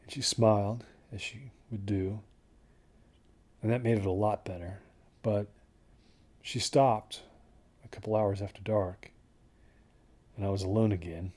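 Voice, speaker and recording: Husky, haunted hiker played by a man, halting. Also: fairly low pitch, steady tone, darkish tone. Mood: uneasy, haunted. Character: haunted, unravelling male desert hiker recounting his trek. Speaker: first-person narrator of a horror audio drama. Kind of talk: confessional dramatic monologue.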